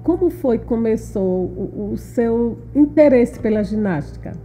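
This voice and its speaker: A woman hosting an interview, asking quick questions and affirming her guest. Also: a little high, animated, mellow, faint music behind. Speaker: interview host, mother. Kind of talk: interview conversation.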